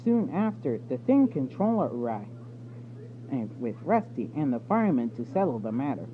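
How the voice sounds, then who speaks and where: A man's voice: medium pitch, very animated, normal speed. A man reading a children's storybook aloud, stumbling now and then over the words. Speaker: storybook reader. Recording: tinny phone-like audio with background noise.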